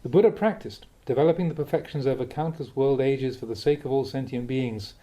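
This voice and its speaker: A man, a Buddhist monk, reading a dharma talk aloud in a measured, reflective way with the occasional soft chuckle. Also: mid-range voice, dull audio.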